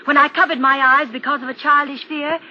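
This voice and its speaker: A woman's voice: high-pitched, steady tone, fairly quick, close to an everyday voice. An actress as an emphatic, insistent woman of reason. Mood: firm, persuasive. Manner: articulate, persuasive, emphatic, dramatic. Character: rational, insistent woman reasoning with a frightened man. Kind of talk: 1940s radio drama acting and narration. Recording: dull, noisy old radio broadcast.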